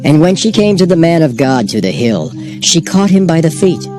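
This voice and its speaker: A man narrates scripture in a measured, solemn way. His voice sits a touch high and moves a lot in pitch. Clear background music plays throughout. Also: very animated. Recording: music bed behind voice.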